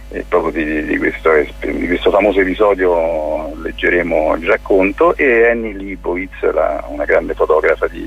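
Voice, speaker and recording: A man, explaining an event programme in an informal radio interview, often searching for words in a halting way. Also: low-pitched, creaky, slightly rough, muffled recording. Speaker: interview guest, photography teacher and event organiser.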